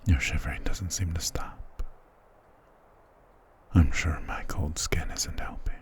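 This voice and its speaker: A man's voice: rumbling low, fairly even intonation, slow pace. A man playing a dominant, doting vampire lord in an intimate ASMR roleplay, speaking tenderly and possessively with formal endearments. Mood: adoring, intimate.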